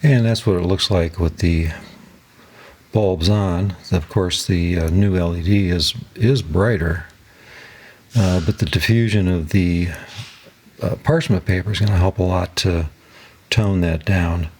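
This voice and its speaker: A man narrating a hands-on tutorial as host, talking haltingly as he thinks aloud while he works. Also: low voice, darkish tone, a little hiss or hum. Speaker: host of a DIY electronics repair channel.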